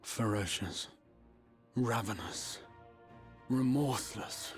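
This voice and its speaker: A man's voice: mid-range voice, takes it slowly, faint music behind, natural voice. A man playing a solemn narrator of a doom-laden epic in a video game, grave and portentous. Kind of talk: scripted dramatic game narration.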